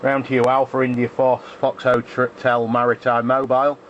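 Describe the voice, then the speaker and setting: An English man talks casually as a ham radio operator in a flat, mid-pitched voice, hesitating and repeating himself. The sound is dull, with a faint layer of noise. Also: moderate pace. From England.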